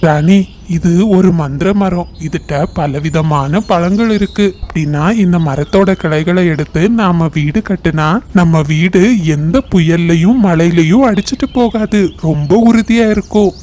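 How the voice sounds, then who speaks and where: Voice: medium pitch, measured pace, warm tone, a little hiss or hum, natural voice. A performer voicing a worried, scheming crow in a children's cartoon story.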